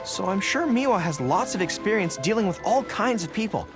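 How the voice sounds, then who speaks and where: An eager young general in a video game. He is earnest and bashful, with animated, swinging intonation. Music plays clearly behind him. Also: sits high. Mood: hopeful. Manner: eager, halting when embarrassed. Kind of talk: video game dub dialogue.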